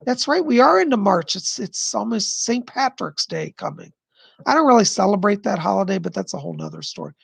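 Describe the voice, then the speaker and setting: A man hosting a live stream of psychic readings, answering viewer questions in an effusive, chatty way and pausing to listen to spirits. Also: sits high, very animated, gravelly, slightly rough, dry recording. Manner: pauses to listen to spirits mid-sentence.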